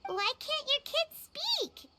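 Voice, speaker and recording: A woman voicing a tiny, excitable floating fairy companion in a video game, with a squeaky childlike voice and a blunt, exclamatory manner. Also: slow pace, very noisy, heavily acted. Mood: curious. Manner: exclamatory, speaks of herself in third person. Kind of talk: video game character dialogue.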